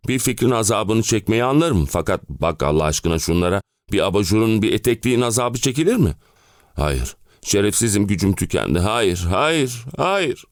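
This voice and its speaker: Man acting an exasperated, exhausted clerk, wildly swinging pitch. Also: big pitch swings, normal speed, dry recording, studio quality. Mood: despairing. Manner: dramatic, complaining, rhetorical questions. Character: exhausted, exasperated middle-aged family man and clerk, ranting. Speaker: actor narrating an audio play. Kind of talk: theatrical audio drama monologue.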